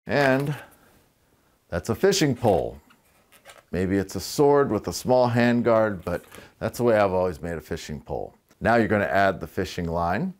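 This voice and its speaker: A middle-aged man giving a relaxed, chatty balloon-twisting lesson in a living room, with wry asides and a lapel mic. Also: lowish voice, normal speed. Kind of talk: casual tutorial demonstration. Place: living room with fireplace, indoors.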